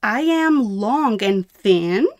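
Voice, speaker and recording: A woman voicing a friendly little meerkat in a children's storybook, with a simple, deliberate, childlike sing-song delivery. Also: medium pitch, expressive, a little mellow.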